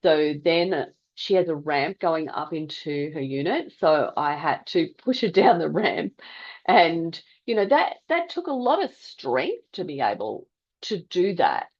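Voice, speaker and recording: A middle-aged woman tells a personal story in a reflective, deliberate way with dramatic pauses. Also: deep voice, very animated, dull audio. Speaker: health vlogger telling a personal story.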